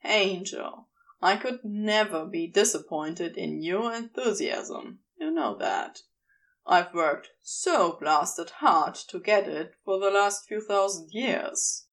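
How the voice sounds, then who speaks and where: A woman voicing a commanding but tender male demon lover in a fan fiction audiobook, with deliberate, praising speech. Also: mid-range voice, lively intonation, a bit bright. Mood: warm praise.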